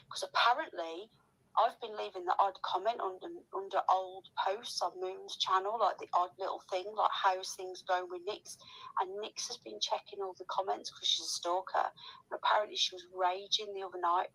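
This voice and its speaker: A woman's voice: medium pitch, a bit bright, rough recording. A woman talking casually, recounting gossip in a sweary, complaining manner.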